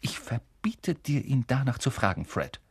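A man's voice: medium pitch, huge pitch swings, unhurried, slightly rough, no room sound, studio quality, exaggerated voice. A man voicing a strict, caring mother who scolds her children. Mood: stern. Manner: stern, scolding.

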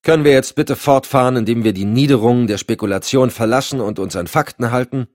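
A man's voice: mid-range voice, quick pace, a little mellow, dry recording, clean studio sound, pitched above the performer's own voice, natural voice. Man acting a curt, commanding agency chief. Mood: irritated, sardonic. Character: commanding male agency chief, curt, sardonic, easily irritated. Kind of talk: audiobook dialogue.

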